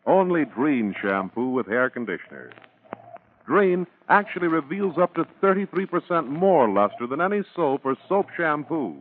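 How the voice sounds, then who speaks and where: A man's voice: on the high side, big pitch swings, normal speed. A man working as a 1940s radio announcer, delivering advertising copy in a polished, emphatic way. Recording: muffled 1940s radio broadcast with music. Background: music.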